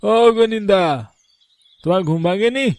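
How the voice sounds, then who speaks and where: A man's voice: high voice, a little slow, darkish tone, no echo, pitched above the performer's own voice. A man voicing an anxious village man in a cartoon story, speaking urgently and deferentially to his elder.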